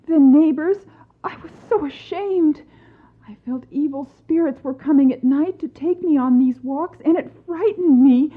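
High pitched dainty empress, done by a woman, plaintive storybook acting, thin phone-like sound. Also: lightly acted. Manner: plaintive, formal storybook speech. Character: anxious, dainty young empress, gentle and ashamed. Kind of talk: dramatised folktale acting.

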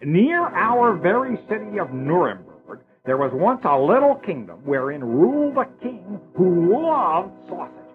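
A man's voice: high-pitched, very animated, unhurried, hardly any pauses, faint music behind. A man playing a theatrical old storyteller. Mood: warm. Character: kindly older male godfather and storyteller. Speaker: narrator. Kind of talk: storybook narration. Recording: tinny old lo-fi recording.

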